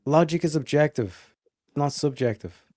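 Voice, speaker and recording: A man's voice: fairly high pitch, normal speed, dark tone, no room sound, clean studio sound. A man arguing his points earnestly in a casual livestream debate as a guest.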